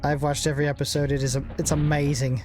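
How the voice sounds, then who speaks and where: A man's voice: on the high side, flat delivery, fast talker. A young man giving casual, rambling commentary on a strategy game, joking and poking fun at himself. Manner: rambling, joking, self-deprecating asides. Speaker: gaming channel host. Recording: game music playing behind. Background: game music.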